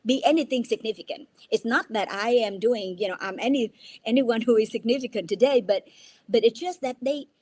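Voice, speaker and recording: A woman's voice: a little high, a little fast, darkish tone, no echo. A jet-lagged woman in her fifties from Vietnam, a native Vietnamese speaker, telling her story earnestly as an interview guest on a video call from her mother's house. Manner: earnest, restarts words, tags sentences with "right?". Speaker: guest entrepreneur. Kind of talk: casual interview.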